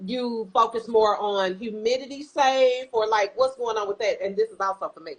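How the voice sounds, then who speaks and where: Chatty forties woman hosting, fairly high voice, easygoing questions. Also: normal speed. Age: 40s. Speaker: podcast host. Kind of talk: casual podcast chat.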